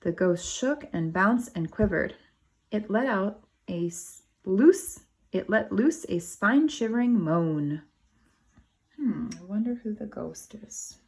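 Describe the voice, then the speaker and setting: Creaky-voiced woman reading a storybook to children. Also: medium pitch, expressive, slow, darkish tone. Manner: explains to young children, asks them questions. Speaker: kindergarten teacher reading a picture book aloud. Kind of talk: animated storybook read-aloud with asides to children.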